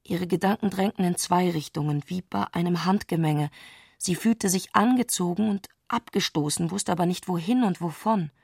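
Eine Frau spricht in einem literarischen Hörspiel die introspektive Erzählerin, die mit bedachten Pausen die Gedanken einer aufgewühlten Frau schildert. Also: female voice, on the low side, creaky, a little mellow, slightly rough, dry recording, clean studio sound. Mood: introspective, hushed. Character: intimate, introspective female narrator of a troubled woman's thoughts. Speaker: narrator in a literary radio play. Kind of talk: literary radio drama narration.

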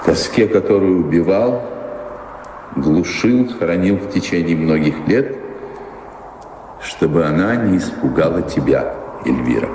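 An actor playing a melancholy baron in a radio drama, speaking in a measured, brooding, commanding way. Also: male voice, low-pitched, animated, darkish tone, a little hiss or hum. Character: melancholy baron, master of the house, yearning for faraway seas. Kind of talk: theatrical radio drama acting. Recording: reverberant hall, music behind.

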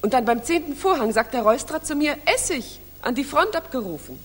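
An actress playing a shy, eager young stage debutante full of admiration, in a 1960s radio drama. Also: female voice, high-pitched, animated, fairly quick, a bit bright. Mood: rueful. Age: young adult. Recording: distant echoing radio studio, hiss.

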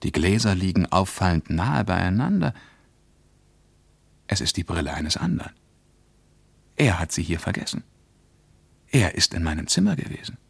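Introspective traveller reading his diary for radio drama, very low voice, sober and terse, close dry studio sound. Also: very animated, normal speed, vocal fry, pauses often, husky, no echo, exaggerated voice. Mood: tense, wakeful. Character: Ernst, brooding, observant German male traveller writing a diary. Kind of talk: radio play diary monologue.

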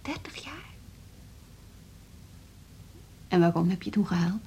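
A woman's voice: on the low side, animated, fast, dark tone, slightly rough, heavily acted. A woman playing a well-meaning, conciliatory music teacher in a radio drama, with an informal and slightly hesitant conversational way of talking. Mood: concerned, soft. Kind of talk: naturalistic radio drama dialogue.

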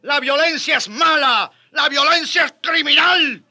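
High, piercing indignant old friar. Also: male voice, measured pace, pushed and bright, exaggerated voice. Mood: impassioned. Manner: emphatic, indignant oratory. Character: old, passionate, indignant Spanish friar defending the Indians. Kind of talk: radio drama acting. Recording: music behind the voice.

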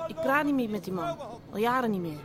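A woman's voice: a little high, animated, brisk, crowd noise, natural voice. An actress playing a defiant, unbothered young woman in a radio drama, talking bluntly and offhandedly. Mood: offhand, defiant.

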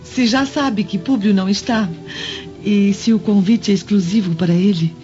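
A woman acts a protective, noble Roman mother in a radio play. Her voice is soft-toned and dark, earnest, with a Brazilian accent. Faint music sits under slightly muffled sound. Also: medium pitch, lightly acted. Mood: firm, indignant. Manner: earnest, emotive, measured. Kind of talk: radio drama acting. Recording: dull lo-fi audio with music underneath.

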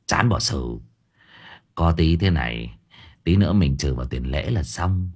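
A man's voice: rumbling low, expressive, unhurried, breathy edge, pitched below the performer's own voice, full character voice. A man doing a lazy, teasing young guy.

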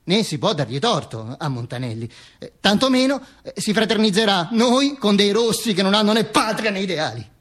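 A male actor playing a dead dictator's corpse as a bombastic, sardonic, macabre storyteller in a theatrical radio monologue, declaiming with halting stammers. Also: sits high, big pitch swings, a bit bright, exaggerated voice. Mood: cold, contemptuous.

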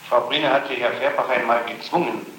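Male interrogator, rapid and pressing, accusatory, reverberant old tape. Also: mid-range voice, fairly even intonation, background noise. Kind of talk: police-style questioning. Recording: echoey archival interrogation tape.

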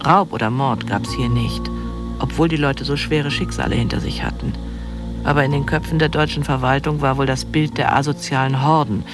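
A man's voice: mid-range voice, steady tone, moderate pace. A man explaining local history analytically in a documentary interview. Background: music bed.

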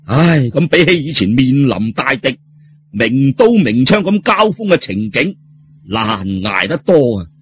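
A man's voice: medium pitch, very animated, unhurried, husky. A man with a Cantonese accent telling a story in a dramatic, colloquial audiobook style.

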